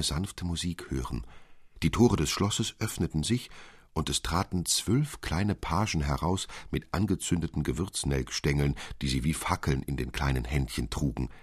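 Male narrator, deep voice, husky, expressive literary fairy-tale reading, dry studio-like sound. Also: dry recording. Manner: expressive storytelling.

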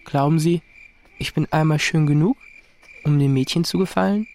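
A man's voice: on the high side, moderate pace, vocal fry, darkish tone. A male actor playing a curious, candid and slightly cheeky teenage boy in a radio drama, direct and questioning. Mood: shy, hopeful.

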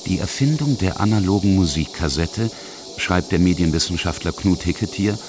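A man's voice: deep voice, lively intonation, moderate pace, noisy background. A man reading scripted documentary narration as the narrator and interviewer of a feature.

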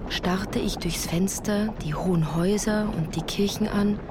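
A woman's voice: fairly low pitch, background noise. A woman reading scripted literary narration as the narrator of a radio play. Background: music.

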